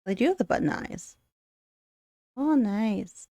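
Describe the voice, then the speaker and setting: A woman's voice: medium pitch, lively intonation, slow pace, dark tone, dry recording. A woman hosting a let's play, reading a visual novel aloud and reacting casually. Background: faint music.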